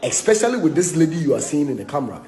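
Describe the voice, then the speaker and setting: A man with a Ghanaian accent making an indignant, emphatic spoken complaint, halting and repeating words as he goes. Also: a little high, very animated, mellow. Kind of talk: impassioned spoken complaint to camera.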